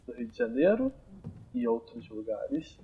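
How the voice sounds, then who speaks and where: A young man hosts a casual podcast at an easy, unhurried pace, his voice at a middling pitch. He thinks aloud as he talks, with frequent fillers and restarts. The home recording sounds slightly dull and lo-fi. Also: very animated, a little hiss or hum. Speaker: podcast host. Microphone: amateur home recording, phone or computer.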